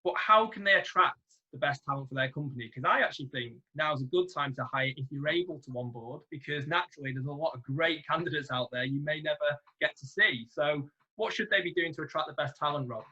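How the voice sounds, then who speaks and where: A man's voice: a little high, fast, sharp tone, breathy edge, muffled recording. A man hosting a live webinar Q&A, asking questions and laughing often. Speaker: webinar host. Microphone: video call.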